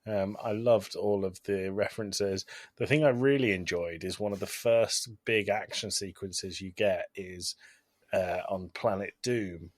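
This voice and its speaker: A man's voice: low-pitched, fairly even intonation, a little slow, no room sound, clean studio sound. A man co-hosting a casual review podcast, chatting conversationally with wry understatement. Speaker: podcast co-host. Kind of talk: casual panel chat, film review.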